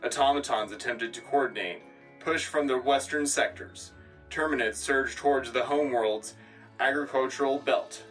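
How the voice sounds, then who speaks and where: A man's voice: medium pitch, moderate pace, a bit bright, far from the mic, soft background music, close to an everyday voice, low-quality recording. A man playing an earnest, patriotic wartime radio anchor, delivering a solemn, declamatory scripted newscast. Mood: solemn, rousing. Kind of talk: scripted propaganda newscast narration.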